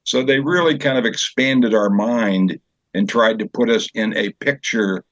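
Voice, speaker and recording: An older man being interviewed as a guest over a remote call, explaining things in a measured, halting way. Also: on the low side, a bit bright, no room sound. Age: older, 70s or so. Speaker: guest from a community theater group. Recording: dull, band-limited call audio.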